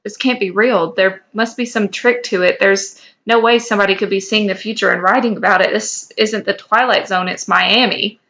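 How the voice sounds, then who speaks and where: A woman reading the part of a skeptical, guarded man in fan fiction, doubtful and measured, on a slightly dull lo-fi mic. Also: medium pitch, steady tone, pushed and bright.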